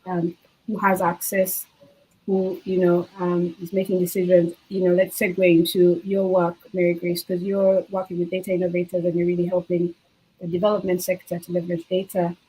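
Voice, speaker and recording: A woman with a husky, fairly low voice moderates a panel. She asks questions in a loose, casual way. Her questions are full of hedges and fillers. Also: completely flat delivery, moderate pace, dark tone, rough recording.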